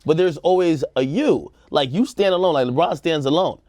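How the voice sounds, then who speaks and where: A man's voice: fairly high pitch, normal speed, darkish tone, no room sound, studio quality. A man with an American accent giving casual opinions as a talk show co-host, slangy and acting out quotes. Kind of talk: casual opinionated panel chat.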